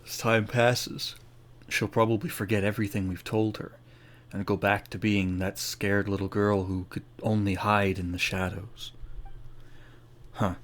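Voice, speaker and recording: A man's voice: lowish voice, flat delivery, unhurried, fairly bright tone. A man giving casual, offhand playthrough commentary and reading game text aloud in a dry way. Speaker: gaming streamer.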